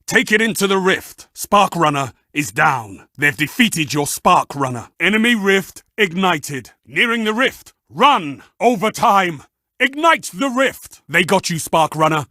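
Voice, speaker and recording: A theatrical game arena announcer, with a high, very animated voice and a forceful edge. He fires off short, savoring, dramatic calls to spur fighters on, in a dry close recording. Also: a little slow, no room sound, full character voice. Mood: urgent. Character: theatrical, fervent male arena match announcer urging on fighters. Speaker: match announcer.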